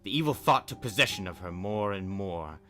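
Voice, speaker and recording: A man's voice: low-pitched, lively intonation, normal speed, sharp tone. A man hosting a spooky story podcast, narrating in a dramatic storyteller's style. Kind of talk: dramatic fairy-tale narration with casual commentary. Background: music.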